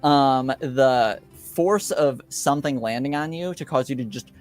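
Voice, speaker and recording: A man narrating a tabletop roleplaying game as game master, in a halting, conversational way that addresses the player directly. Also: mid-range voice, faint music behind.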